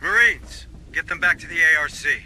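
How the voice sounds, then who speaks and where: A man voicing a gruff, commanding marine sergeant in a video game, giving terse, authoritative military orders. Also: fairly high pitch, normal speed, bright, forceful tone, a little hiss or hum. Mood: commanding, firm. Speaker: squad leader.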